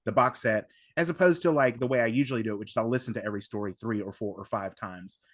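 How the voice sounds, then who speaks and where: A man in casual podcast chat, enthusiastic as a fan, halting and rambling as he circles back to rephrase. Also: lowish voice, no room sound, clean studio sound. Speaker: podcast guest.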